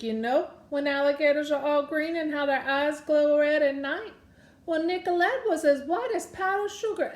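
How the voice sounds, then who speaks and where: High-voiced woman telling folksy tales. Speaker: storyteller reading a children's book aloud. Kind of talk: animated Cajun folk storytelling. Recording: roomy echo around the voice.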